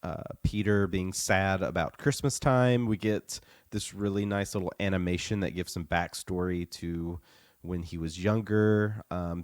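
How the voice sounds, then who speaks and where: A man co-hosting a podcast while getting over the flu, giving a measured review with frequent pauses. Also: deep voice, dry recording, clean studio sound. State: recovering from the flu. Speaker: podcast co-host. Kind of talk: casual review chat.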